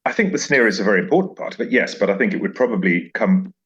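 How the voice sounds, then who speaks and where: Deep-voiced man, smooth and resonant, slightly dull recording. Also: lively intonation, fast talker, a bit bright. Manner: emphatic, repeats phrases for effect. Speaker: interview guest, journalist. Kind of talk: reflective interview conversation with recited verse.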